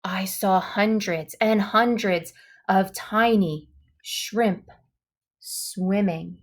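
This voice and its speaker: A woman reading a children's story aloud in an expressive, dramatic way, leaning on key words and exclamations. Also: mid-range voice, very slow. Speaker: storybook reader.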